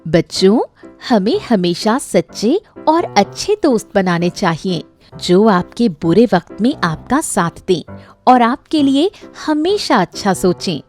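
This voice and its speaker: A woman's voice: medium pitch, big pitch swings, a little slow, darkish tone. A woman narrating a scripted moral story for children as the storyteller. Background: faint music.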